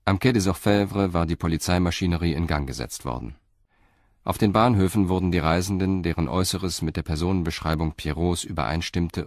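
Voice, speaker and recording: A man narrating a crime story in a measured, sober and deliberate way. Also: deep voice, a little mellow, no room sound, studio quality.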